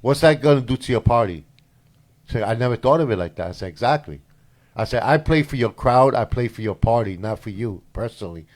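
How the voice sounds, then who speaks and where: Male co-host, DJ, easygoing storyteller, mid-pitched, chatty. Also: fairly quick. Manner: animated storyteller, gives shout-outs. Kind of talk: casual podcast chat.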